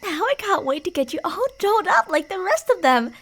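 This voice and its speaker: A woman playing a delusional, playfully menacing yandere killer in an ASMR roleplay, teasing in a sing-song way and slipping into breathy whispers. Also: very high-pitched, very animated, a little fast, fairly bright tone, exaggerated voice. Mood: delighted obsession.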